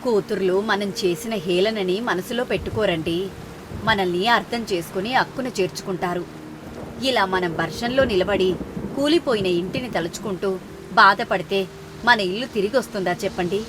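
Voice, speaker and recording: A woman's voice: mid-range voice, normal speed, noisy background, natural voice. Woman as a plaintive, pleading older aunt. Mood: coaxing. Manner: plaintive, coaxing. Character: distressed older aunt, persuasive wife, earnest, pleading. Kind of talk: moral story character dialogue.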